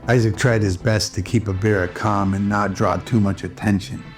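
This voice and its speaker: Noir radio serial narrator: man, low register, steady even intonation, music underneath. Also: moderate pace. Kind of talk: scripted radio drama narration, pulp noir storytelling.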